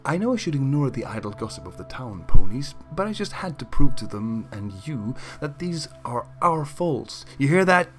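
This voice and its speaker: A man's voice: mid-range voice, sing-song, moderate pace, few pauses, faint music behind, voice pushed up, close to an everyday voice. A man voicing a hysterical, possessive mare baker who shrieks in manic outbursts. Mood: furious.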